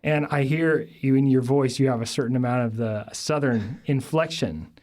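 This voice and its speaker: A man hosting an interview, asking measured, friendly questions and introducing his guest. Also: mid-range voice, a little mellow. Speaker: interviewer, podcast host.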